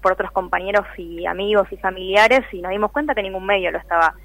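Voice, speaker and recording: A woman's voice: mid-range voice, fast, a bit bright, no echo, poor audio. A woman, a guest speaking conversationally in a radio interview over the telephone. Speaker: interview guest, activist communicator.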